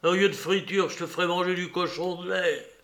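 A man voicing a boisterous, mocking old father who shouts and jeers theatrically. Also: high-pitched, steady tone, a little fast, voice pushed up.

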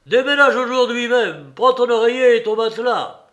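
A man voicing a boisterous, mocking old father who shouts and jeers theatrically. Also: high-pitched, expressive, takes it slowly, voice pushed up, heavily acted. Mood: gleeful shouting.

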